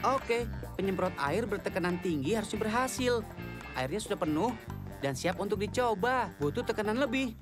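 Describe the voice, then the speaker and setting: A woman plays a self-assured cartoon boy of about twelve, full of schemes and reassurance. The voice is mid-pitched for a woman and youthful. Music plays clearly behind it. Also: animated, normal speed, lightly acted. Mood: eager, planning. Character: confident, inventive schoolboy, around twelve, upbeat schemer. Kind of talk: animated children's cartoon dub.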